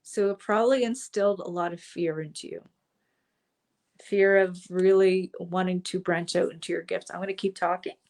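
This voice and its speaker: A woman coaching viewers in a casual live stream, chatty, with many fillers, and slightly hoarse from a cold. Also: lowish voice, steady tone, moderate pace, darkish tone. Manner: chatty, with frequent fillers and asides.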